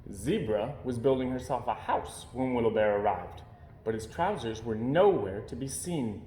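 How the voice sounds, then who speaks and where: Animated male storyteller in a roomy space. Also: mid-range voice, moderate pace, a little hiss or hum. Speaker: storyteller reading a picture book aloud. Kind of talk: expressive children's story narration. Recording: roomy, echoey indoor sound.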